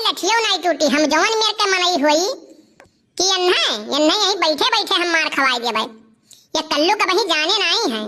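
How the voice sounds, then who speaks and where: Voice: very high voice, sharp tone. A performer voicing a scheming, vengeful young male village troublemaker with an Awadhi accent in a comic cartoon. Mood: gloating.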